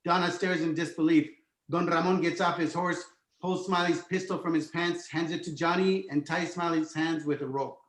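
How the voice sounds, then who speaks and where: A man's voice: on the high side, fairly even intonation, moderate pace. A man reading stage directions as the narrator of a screenplay table read. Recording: roomy, echoing home recording.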